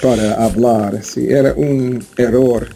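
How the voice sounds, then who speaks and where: Brief: American man with a learner's accent, hesitant and slow, very gravelly, dark tone. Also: mid-range voice, steady tone, soft background music. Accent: American English. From United States. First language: English. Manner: halting, hesitant, with many fillers and restarts. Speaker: interview guest, Spanish learner. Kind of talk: casual interview chat.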